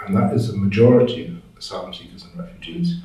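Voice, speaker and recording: Man from France, halting and searching for words, lowish mellow voice, echoey room, distant from the mic. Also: big pitch swings. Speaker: interviewee, language teacher. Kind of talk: conversational interview answers.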